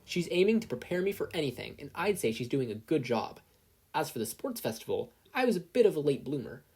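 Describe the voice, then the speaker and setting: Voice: medium pitch, sing-song, brisk, darkish tone, slightly rough, pitched above the performer's own voice. A performer voicing a nervous, earnest teenage boy hero trainee in an audio story. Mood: calm confidence. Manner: anxious, earnest, rambling questions.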